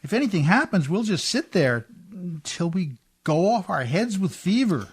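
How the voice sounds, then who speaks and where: An actor playing a rough-spoken, warm riverboat man in love, in old-time radio drama style with colloquial, hearty talk. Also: high voice, sing-song, moderate pace, full character voice. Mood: worried, resigned.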